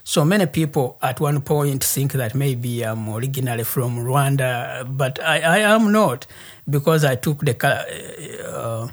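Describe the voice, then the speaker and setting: Slow-talking Ugandan man telling his story. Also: medium pitch. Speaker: interview guest, human rights activist. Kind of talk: personal storytelling in an interview.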